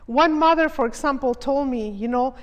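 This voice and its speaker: A woman directing a theater production, explaining its aims in a measured, reflective documentary interview. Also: high-pitched. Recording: long reverb.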